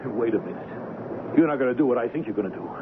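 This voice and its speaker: An actor playing a hard-nosed, pragmatic ship's officer in an old-time radio drama, insistent and blunt. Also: male voice, mid-range voice, expressive, racing, lightly acted. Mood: urgent, exasperated. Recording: muffled, noisy old radio drama recording.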